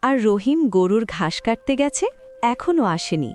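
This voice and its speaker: A woman voicing a cruel, scheming village stepmother in a cartoon story, scolding and sharp-tongued. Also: mid-range voice, sing-song, normal speed, natural voice. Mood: placating, evasive. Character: cruel scheming village stepmother favouring her own son. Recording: music behind the voice.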